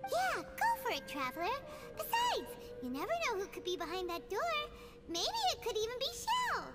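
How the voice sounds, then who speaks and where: A woman voicing a tiny, perky, curious fairy companion in a game with a squeaky childlike voice. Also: huge pitch swings, normal speed, over loud music, heavily acted. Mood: eager. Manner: refers to itself by name, chirpy.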